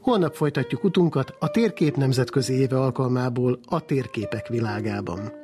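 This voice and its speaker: A man's voice: medium pitch, music in the background. A man presenting a scripted radio programme as its host.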